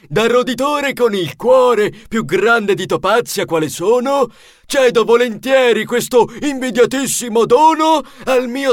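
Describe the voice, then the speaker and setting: A boastful, excitable rodent show-off for an audiobook. The voice is extremely high and theatrical, with drawn-out, slow phrasing. It sounds close and dry, proud of itself in every word. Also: male voice, no room sound. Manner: exclamatory, theatrical delivery. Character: boastful, excitable male rodent cousin, showy and self-congratulating. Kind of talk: audiobook character acting.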